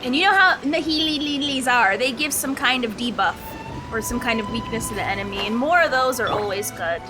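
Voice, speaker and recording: Female game livestreamer: high-pitched, casual, slangy and giggly, faint music underneath. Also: a little fast. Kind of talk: casual livestream chat with viewers.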